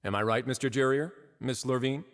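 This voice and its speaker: An actor playing a composed, courteous duke of a prison fortress in a video game, speaking in a polished, measured way with understated irony. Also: male voice, medium pitch, faint music behind, natural voice. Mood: calm, dry.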